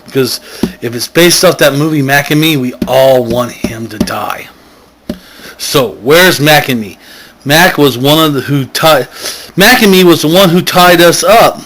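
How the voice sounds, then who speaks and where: A man's voice: fairly high pitch, a little slow, a bit bright, slight background noise. A man telling a comic story as host, rambling, correcting himself and wandering off on digressions. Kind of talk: comic, loosely improvised story narration.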